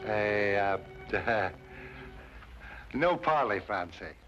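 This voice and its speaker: An actor playing a flustered, gruff middle-aged police inspector in an old detective drama, halting and blustering. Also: male voice, on the low side, lively intonation. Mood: awkward, polite. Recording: dull old drama soundtrack, music behind.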